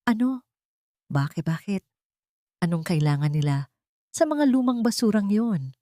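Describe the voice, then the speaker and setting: A woman's voice: medium pitch, big pitch swings, measured pace, mellow, no room sound, studio quality. A woman voicing an anxious, scheming and controlling wealthy older mother in a radio drama. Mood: alarmed.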